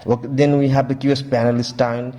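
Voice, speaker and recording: A man giving a casual, halting walkthrough as a tech reviewer, often repeating stock phrases. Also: medium pitch, little pitch movement, dark tone. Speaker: tech reviewer, video host.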